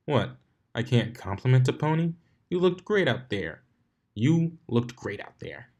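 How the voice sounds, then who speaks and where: A man's voice: mid-range voice, very animated, a little slow, dark tone, pitched above the performer's own voice. Man as a breezy, boastful young stallion pegasus. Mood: breezy, eager. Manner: casual, dude-like. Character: laid-back, boastful young male pegasus pony. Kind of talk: fan fiction character reading.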